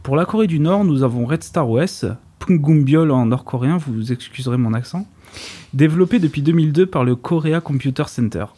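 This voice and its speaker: A man's voice: a little high, lively intonation, fairly quick, mellow, slightly rough. Man reading a segment with dry irony. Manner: dry, ironic asides. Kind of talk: reading a prepared tech segment.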